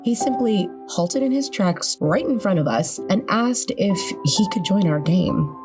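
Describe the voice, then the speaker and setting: A woman's voice: medium pitch, expressive, measured pace, music in the background. A woman reading scripted narration as the narrator of a horror podcast.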